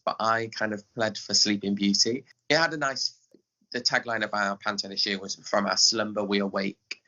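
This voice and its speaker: A man's voice: fairly low pitch, bright, forceful tone. An English man speaking as an interview guest, explaining things in a chatty, informal way. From Skegness, England. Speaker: podcast guest, theatre director. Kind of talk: casual explanatory chat. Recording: dull, lo-fi audio.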